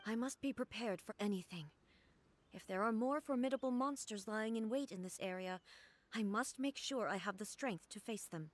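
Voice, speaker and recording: A woman voicing a composed, regal ruler-god in a video game, measured and solemn. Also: on the high side, natural voice.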